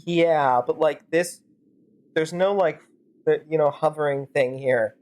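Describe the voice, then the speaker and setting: A woman's voice: low-pitched, measured pace, darkish tone. A woman streamer improvising rambling, sarcastic commentary and role-play while playing a game. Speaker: streamer fan-dubbing a game.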